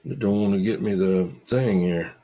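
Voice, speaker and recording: A man hosting a casual livestream, chatting with viewers in a halting, repetitive way and muttering asides to himself. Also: low-pitched, fairly even intonation, warm tone. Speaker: livestream host.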